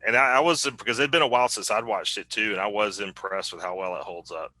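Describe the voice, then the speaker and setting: Quick, bright-voiced man hosting a chat. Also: fairly low pitch. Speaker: podcast host interviewing guest. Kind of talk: casual chat.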